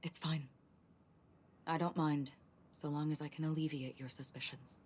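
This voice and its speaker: An actress playing an enigmatic, stoic young woman wanderer in a video game, with a soft, breathy, calm and formal delivery. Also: low-pitched, a little hiss or hum, close to an everyday voice. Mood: calm, contemplative. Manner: calm, restrained, formal and deliberate. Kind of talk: dramatic video game voice acting.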